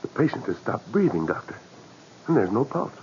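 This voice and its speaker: A man's voice: on the low side, sing-song, a little fast, a little mellow. A man acting a grave, respectful and supportive hospital assistant in a 1940s radio drama. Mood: grave, tense. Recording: muffled, noisy 1940s radio recording.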